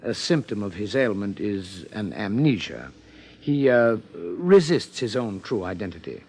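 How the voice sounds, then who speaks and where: An actor playing an earnest, hesitant psychiatrist in a 1950s radio drama, with formal, halting phrasing. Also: male voice, on the low side, very animated, a little mellow, husky, full character voice. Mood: hesitant, careful.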